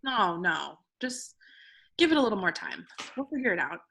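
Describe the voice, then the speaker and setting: A woman's voice: medium pitch, very animated, normal speed, vocal fry, a bit bright, slight background noise. A woman in a sitcom table read playing an earnest, openhearted, encouraging young woman. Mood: reassuring.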